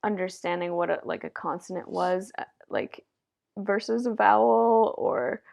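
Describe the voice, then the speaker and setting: Slow-talking woman hosting a casual chat. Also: medium pitch, vocal fry, darkish tone. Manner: chatty, hesitant, lots of fillers. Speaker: host.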